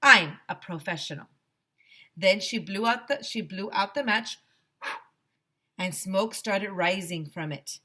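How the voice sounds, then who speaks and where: A woman teacher reading a children's chapter book aloud in an expressive, animated storytelling style. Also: medium pitch, takes it slowly. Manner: expressive, dramatizes the dialogue.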